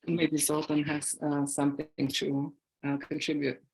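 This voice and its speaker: A woman from Bulgaria answering an audience question on a video call, speaking haltingly with many fillers and restarts. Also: sits low, monotone, creaky, darkish tone, low-quality recording. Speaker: guest speaker.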